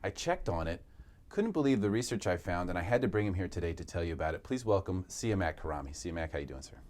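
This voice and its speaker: A man with a low voice who hosts a talk show, lively and upbeat as he introduces and questions his guests. His pitch swings widely, and a faint hum of room ambience sits behind him. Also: fast talker. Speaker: radio show host. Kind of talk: radio talk show interview.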